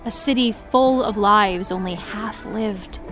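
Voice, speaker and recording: An actress playing a gloomy, weary young woman with a flat, deadpan delivery. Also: medium pitch, slow pace, soft background music.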